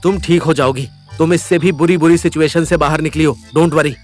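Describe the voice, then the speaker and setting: Guy acting level-headed young hero in audio drama, higher voice, firm n reassuring, music under him. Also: fairly even intonation, fairly quick, natural voice. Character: Manu, battered, level-headed young man taking charge. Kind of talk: audio drama acting in Hindi with English words. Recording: music bed behind voice.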